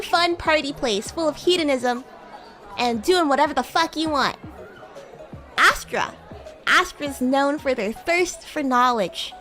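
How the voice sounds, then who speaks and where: A woman roleplaying a playful, bratty demon classmate on a livestream, chatting in a halting, improvised way. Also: sits high, a bit bright. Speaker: streamer and VTuber host.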